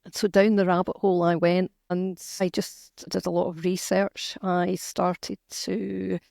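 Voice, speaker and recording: Earnest Scottish woman with gravelly fry. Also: on the low side, flat delivery, measured pace, a little mellow, no echo, clean studio sound. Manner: earnest, emphatic, with restarts. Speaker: author and guest. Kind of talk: conversational interview.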